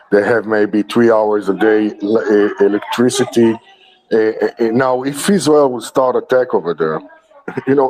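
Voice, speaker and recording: A man in a casual discussion, talking quickly and emphatically, stumbling over the starts of words. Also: medium pitch, darkish tone, poor audio.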